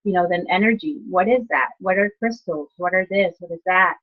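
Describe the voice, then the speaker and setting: Woman from ecuador on a muffled video call, lowish voice, chatty, lots of "you know". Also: a little fast. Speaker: guest, nurse and healer. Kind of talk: conversational storytelling.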